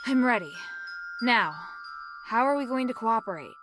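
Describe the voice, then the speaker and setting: Game casting: young heroine played by a woman, earnest and direct warrior, fairly high voice. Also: animated, normal speed, loud music behind the voice, natural voice. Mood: resolute. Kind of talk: video game voice acting.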